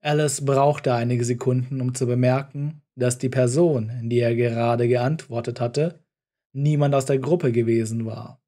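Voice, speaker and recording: Ein Mann liest als Erzähler eine Geschichte vom Skript. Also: male voice, mid-range voice, steady tone, measured pace, dark tone. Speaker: narrator. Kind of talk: scripted story narration.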